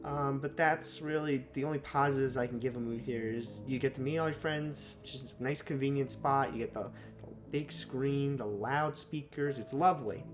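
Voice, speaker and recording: A young man with a slightly sore, raspy throat, giving unscripted first impressions of a movie, rambling with lots of fillers and self-corrections. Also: medium pitch, steady tone, normal speed. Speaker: movie reviewer.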